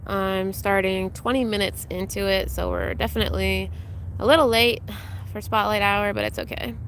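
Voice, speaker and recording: A young woman hosts a live game stream, chatting loosely and greeting her viewers one by one. Her voice sounds a little congested and she fills pauses with ums. A faint hum sits behind her voice. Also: mid-range voice, steady tone, fairly bright tone. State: congested, recovering from illness. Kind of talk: casual live-stream chat.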